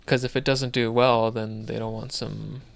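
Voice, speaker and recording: Gravelly-voiced guy rambling on a podcast, dry close mic. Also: on the low side, little pitch movement, moderate pace, dry recording. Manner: thinks aloud, loose and rambling. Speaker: podcast co-host. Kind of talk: casual podcast chat.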